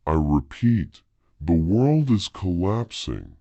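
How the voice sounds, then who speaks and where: A man's voice: low-pitched, very animated, slow pace, creaky, mellow, no echo, lo-fi sound. A man reads a scripted emergency broadcast announcement in a solemn, formal manner.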